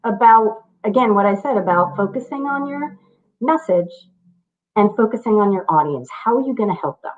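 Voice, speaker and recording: A woman's voice: mid-range voice, animated, normal speed, a little mellow. Encouraging coach lady on a video call, kinda muffled lo-fi sound. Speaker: public speaking coach, guest. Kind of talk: casual interview chat.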